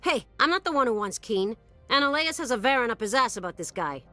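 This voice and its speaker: An actress playing a brash, corrupt security guard in a science fiction game, barking and streetwise. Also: female voice, on the high side, lively intonation, fast talker, bright, forceful tone, no echo, faint music behind. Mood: defensive, bargaining. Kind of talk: scripted video game dialogue.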